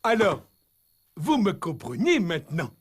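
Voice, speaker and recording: A man's voice: high voice, huge pitch swings, unhurried, echoey room, long reverb tail, faint music behind, full character voice. A man playing an aggrieved storybook animal in a French children's cartoon, complaining in a dramatic, emphatic way.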